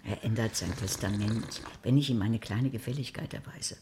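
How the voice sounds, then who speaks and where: A woman's voice: very deep voice, moderate pace, noisy background. An actress playing a sceptical older woman in a German radio crime drama, speaking in a dry, blunt, sardonic way. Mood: wry.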